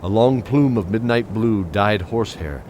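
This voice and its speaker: An actor playing a weary, guilt-ridden knight captain, narrating and speaking in a scripted fantasy audio drama. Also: male voice, fairly low pitch, moderate pace, noisy background, close to an everyday voice. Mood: solemn, reflective. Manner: solemn, descriptive, dramatic delivery.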